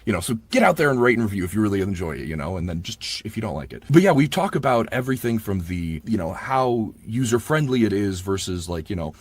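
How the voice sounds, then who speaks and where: Man, husky, dry sound, casual interview talk, informal, effusive narrator. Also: fairly low pitch, very animated, fast talker, hardly any pauses, no echo. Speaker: audiobook narrator.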